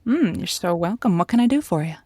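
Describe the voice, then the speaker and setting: A woman's voice: medium pitch, expressive, quick pace, a little mellow, breathy edge, no room sound. A woman playing a flirty, theatrical radio host on a call-in show, with coy, playful flourishes in a sitcom style. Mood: flirty, welcoming.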